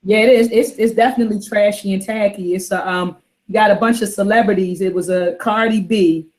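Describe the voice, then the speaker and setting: Woman, host, casual chat, rambling, repeats herself, mid pitch. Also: fairly even intonation, fairly quick, a little mellow. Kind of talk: casual book club chat.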